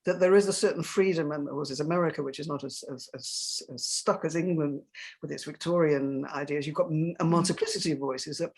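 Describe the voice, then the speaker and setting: Woman with a low voice, playwright on a panel, reflective, emphatic, searching for words. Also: a little fast, a little mellow, slightly rough.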